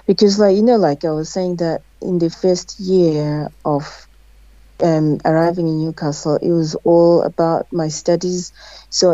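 A woman guest speaking in a measured, unhurried way during a casual chat. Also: lowish voice, mellow, no echo. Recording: dry, dull lo-fi audio.

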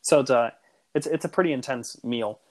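Man, podcast co-host, casual chat, middle pitch, enthusiastic, rambling, self-correcting. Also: normal speed. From United States.

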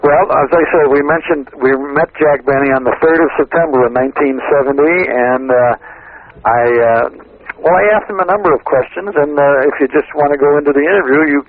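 A man's voice: on the high side, a little fast. Hesitant man reminiscing over a phone line. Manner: hesitant, full of fillers. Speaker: guest, radio historian. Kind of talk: casual reminiscing conversation.

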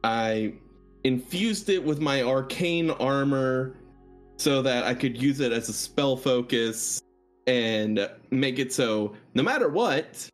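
A man with an average-pitched voice speaks as a player in a tabletop game. He recounts his character's deeds in deliberate, measured phrases. There is faint music behind him. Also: expressive.

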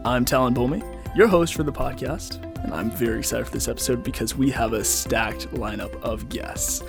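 A man's voice: medium pitch, moderate pace, vocal fry, music in the background. A young man hosting a casual podcast, upbeat and enthusiastic as he questions his guests. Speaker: podcast host.

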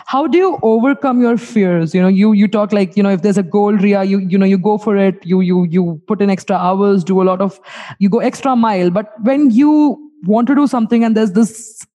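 Mellow-voiced Indian-accented woman interviewer. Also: medium pitch, a little fast. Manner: rambling, repeats words as she forms questions. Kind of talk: casual interview chat.

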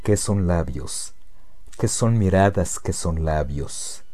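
Man, low-pitched, slow, solemn poetry recitation, measured phrasing, deliberate pauses. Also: lively intonation, mellow.

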